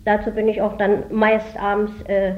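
A woman's voice: mid-range voice, completely flat delivery, moderate pace. A woman, a store employee, speaking hesitantly with many ums in an interview. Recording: dull lo-fi recording.

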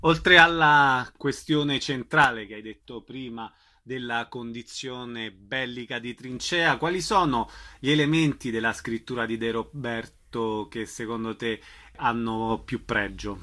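A man hosts an interview in a crisp-edged, bright voice of medium pitch. He phrases questions and announcements in an orderly radio style. His way of addressing the guest is friendly and familiar. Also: steady tone, measured pace. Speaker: interviewer, host.

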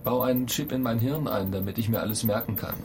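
A man's voice: mid-range voice, fast, lightly acted. A man playing an irritable, ambitious astronaut in a radio play, blunt, impatient and argumentative. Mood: insistent. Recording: clear noise bed behind the voice.

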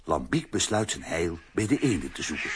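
Deep-voiced, lively male storyteller. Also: a little fast, breathy edge. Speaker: narrator. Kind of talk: scripted storybook narration for a children's audio drama.

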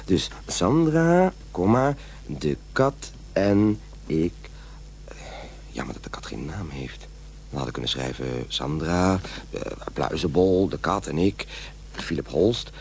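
A radio play character: a pompous, fussy would-be writer. He dictates slowly in a low voice that swings widely in pitch, muttering corrections to himself over a faint hum. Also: sing-song, vocal fry, darkish tone, heavily acted. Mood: musing, fussy. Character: fussy, self-important henpecked man writing his journal.

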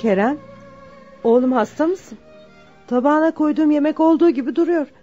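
A woman's voice: sits high, fairly quick, dark tone, lightly acted. An actress playing a worried, doting mother of a grown son, fussing over him in a radio play. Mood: concerned. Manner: solicitous, fussing. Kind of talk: Turkish radio theatre acting. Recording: muffled lo-fi drama recording with music.

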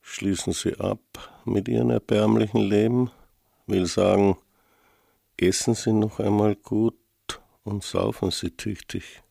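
An actor playing a menacing anonymous letter writer in a radio play, with a gravelly, husky, dark voice and ominous delivery. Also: male voice, on the low side, steady tone, unhurried, no room sound, clean studio sound, heavily acted. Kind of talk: German-language radio play acting.

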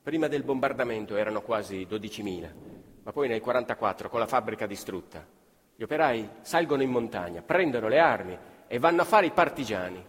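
A man's voice: animated, fairly quick. A man narrating on stage in a declamatory, ironic storyteller's manner, recorded live. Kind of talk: theatrical narration. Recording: live theatre recording with long reverb, violin and live strings behind. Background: live violin, piano and double bass.

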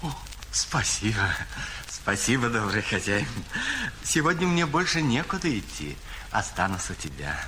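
An actor playing a caliph disguised as a humble wandering dervish, courteous and curious, in an audio fairy tale. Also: male voice, on the low side, big pitch swings, normal speed, sharp tone, a little hiss or hum.

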